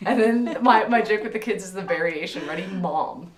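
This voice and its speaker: A woman hosting a casual chat, with a naturally raspy voice and a chatty manner full of laughs and animated retellings. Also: medium pitch, moderate pace. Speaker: podcast host. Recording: roomy echo, mic at a distance.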